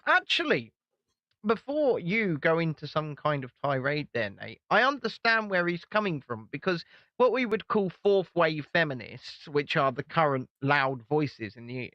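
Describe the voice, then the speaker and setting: A man co-hosting a casual commentary show, presenting in a brisk, upbeat way. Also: a little high, sing-song, bright, forceful tone, no room sound. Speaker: co-host. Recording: dull, dry lo-fi recording.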